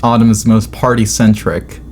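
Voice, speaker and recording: A young American man chatting casually as a podcast host, in an informal, halting, self-interrupting way. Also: fairly low pitch, level tone, a little mellow. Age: young adult. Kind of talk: casual conversational chat. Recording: clearly noisy room ambience.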